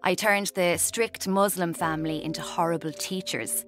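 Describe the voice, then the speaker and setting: A woman's voice: on the low side, fairly even intonation, normal speed, a bit bright, soft background music, lightly acted. An actress playing a restless would-be writer in her twenties with an Irish accent, confiding drily in a radio drama. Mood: wry, boastful. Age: 20s. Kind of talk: radio drama, first-person confiding narration and dialogue.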